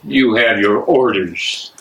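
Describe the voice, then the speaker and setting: An actor playing a scornful, menacing Nazi prison major in a play reading, barking and mocking. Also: male voice, lowish voice, slow, gravelly, fairly bright tone, heavily acted, rough recording. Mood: stern, angry. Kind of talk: dramatic reading of a stage play.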